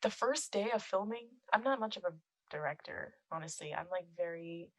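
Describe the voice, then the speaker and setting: A young woman with a naturally soft, quiet voice, talking with a guest's easy chattiness on a close microphone. She laughs often and leans on "like" as she talks. The sound is dry, with no room around it. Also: lowish voice, expressive, moderate pace, no room sound. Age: young adult. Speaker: guest, film composer and musician. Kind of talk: casual podcast chat. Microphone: close podcast microphone.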